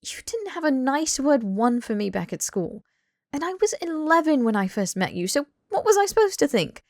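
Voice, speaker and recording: A woman's voice: high-pitched, sing-song, moderate pace, a little mellow, dry recording, studio quality, pitched above the performer's own voice. A woman voicing an earnest, blunt young British man in audiobook dialogue, emphatic and pleading. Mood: exasperated, heated. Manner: emphatic, sweary, rambling tirades.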